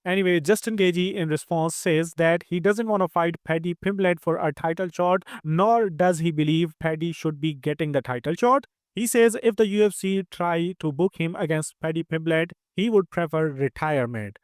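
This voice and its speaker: High, crisp-voiced Indian man reading news. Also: no room sound, studio quality. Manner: quick, steady news read. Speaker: news host. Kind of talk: scripted news narration.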